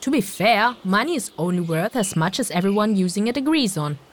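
A woman playing a cheeky, outspoken traveling companion in an audio drama, flippant and expressive. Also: medium pitch, fairly quick, slight background noise, close to an everyday voice. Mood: candid, probing.

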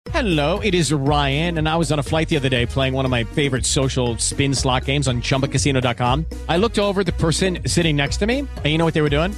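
Male ad read, a bit high-pitched, chatty, faint music under. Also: fast talker. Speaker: ad announcer. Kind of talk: scripted ad read.

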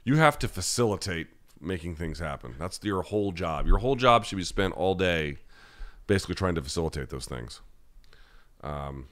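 A man's voice: deep voice, animated, moderate pace, a bit bright. An American man hosting a live-chat podcast, answering listener questions in a blunt, conversational, opinionated way. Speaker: podcast host.

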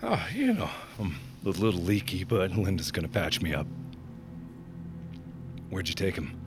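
Deep gravelly wounded man, weak, hesitant, wry, audio drama. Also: wildly swooping pitch, moderate pace, music in the background, exaggerated voice. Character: wounded man joking weakly after being shot.

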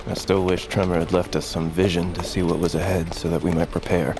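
An actor playing a proud, irreverent young grandson on a journey in a fantasy audio drama, with a sardonic, teasing manner. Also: male voice, low-pitched, flat delivery, a little fast. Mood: brooding. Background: music behind the voice.

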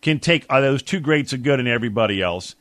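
A man's voice: medium pitch, animated, fast, fairly bright tone, no echo. Blunt American man, dry studio sports talk. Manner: blunt, emphatic, colloquial. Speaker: sports talk radio co-host. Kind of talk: opinionated sports talk, casual chat. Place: radio studio. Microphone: close studio mic.

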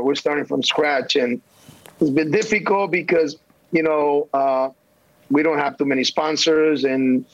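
A middle-aged man from El Salvador, a national team coach, answering interview questions haltingly with many fillers and restarts. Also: a little high, no echo. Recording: dull, dry remote line.

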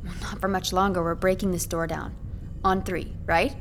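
A woman's voice: fairly low pitch, flat delivery, normal speed, soft background music, lightly acted. An actress playing a sarcastic, exasperated young woman trapped in an apartment, in a naturalistic audio horror drama. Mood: exasperated, insistent. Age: young adult. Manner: sarcastic, bossy, naturalistic dialogue.

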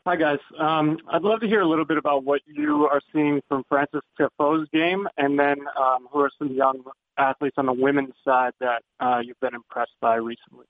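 A man's voice: on the high side, steady tone, normal speed. A man, a journalist, politely asking a question on a press conference call. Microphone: telephone. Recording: tinny phone conference line.

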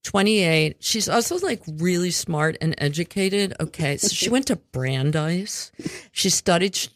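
A woman's voice: on the low side, lively intonation, measured pace, no room sound. A woman hosting a casual community radio interview, rambling and chatty with offhand swearing. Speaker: radio show host.

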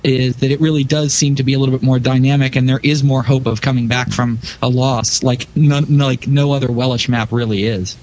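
A man's voice: medium pitch, steady tone, brisk, hardly any pauses, darkish tone. A man speaking as a podcast guest, casually analysing game play in a conversational way.

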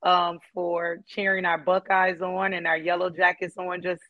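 Hoarse woman, interview guest, flat even delivery, lots of ums, dull lo-fi sound. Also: lowish voice, dry recording. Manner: measured, frequent ums. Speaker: guest, mother of a player. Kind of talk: casual interview.

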